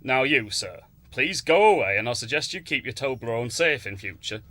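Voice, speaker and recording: Sharp, stern police constable, played by a man. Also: mid-range voice, lively intonation, normal speed, no echo. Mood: defensive. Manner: authoritative. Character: stern, defensive male airport police constable. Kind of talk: spoof docu-soap radio comedy acting.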